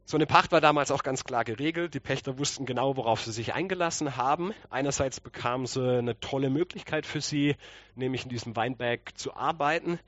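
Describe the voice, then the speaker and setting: A man's voice: mid-range voice, brisk, fairly bright tone, no room sound, highs cut off. Male preacher, a touch congested from a cold. Conversational, explanatory delivery with rhetorical questions, recorded dry. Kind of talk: conversational sermon.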